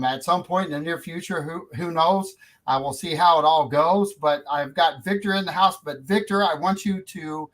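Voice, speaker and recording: A male radio host with a voice pitched a little above the usual for men. He talks informally, as in a live interview, and puts his questions directly. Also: a bit bright, low-quality recording.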